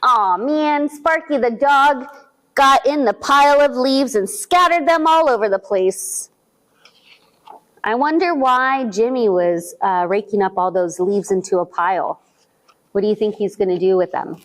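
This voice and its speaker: A woman reading a picture book aloud to young children at a library storytime, asking them questions as she goes. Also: fairly high pitch. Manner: slow, animated, speaking to young children with questions.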